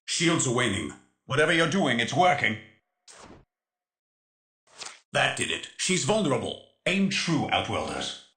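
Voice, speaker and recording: A rebel crew's tech expert in a game. His voice is forceful and swoops widely as he gives precise, formal tactical instructions with urgency, in a roomy echo. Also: medium pitch, sing-song, moderate pace, vocal fry, a little hiss or hum, heavily acted. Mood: excited urgency. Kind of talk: dramatic game mission dialogue.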